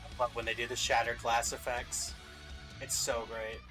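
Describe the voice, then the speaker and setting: A man's voice: medium pitch, completely flat delivery, moderate pace, music in the background, rough recording. A man hosting a reaction show in casual, conversational chat.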